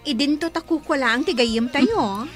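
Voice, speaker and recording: A woman's voice: sits high, normal speed, faint music behind, close to an everyday voice. A woman playing a teasing, conniving friend of a schemer in an Ilocano radio drama.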